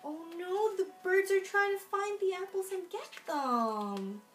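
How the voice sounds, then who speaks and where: Young woman doing storytime for little kids, high voice, takes her time, super expressive. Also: measured pace, soft background music. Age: young adult. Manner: expressive, exaggerated storyteller delivery. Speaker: children's library storytime reader. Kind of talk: animated picture-book read-aloud for young children. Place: room at home, in front of a folding screen.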